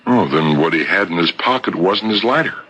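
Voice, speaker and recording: An actor playing a shrewd, patient investigator in a 1970s radio mystery, probing with deliberate, folksy hesitations. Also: male voice, deep voice, animated, bright, forceful tone, breathy edge, exaggerated voice, poor audio. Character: shrewd, patient male investigator piecing together a murder. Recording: old radio broadcast recording.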